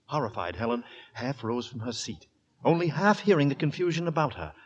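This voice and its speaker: An actor as the grave, suspenseful storyteller of a horror tale, narrating ominously in 1940s radio style. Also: male voice, medium pitch, sing-song, brisk. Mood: tense. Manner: dramatic, ominous. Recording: dull 1940s radio recording. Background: loud dramatic music.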